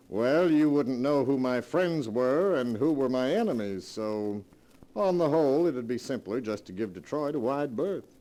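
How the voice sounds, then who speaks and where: An actor playing a cocky, shifty small-time crook in a 1940s radio drama, sly and breezy. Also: male voice, mid-range voice, expressive, measured pace, mellow, natural voice, low-quality recording. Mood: evasive. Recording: vintage 1940s radio recording.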